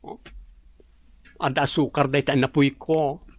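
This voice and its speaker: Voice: mid-range voice, level tone, measured pace, close to an everyday voice. An actor playing a sassy, campy young coworker who is always broke, bantering and complaining in an Ilocano comedy radio drama.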